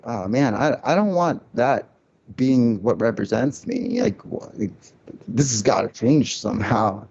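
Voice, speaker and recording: A man's voice: medium pitch, a little mellow, highs cut off. A man of Cuban heritage, a record label founder, talking candidly in a casual podcast interview, reflective and full of restarts. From Cuban family, grew up in a loud-talking city.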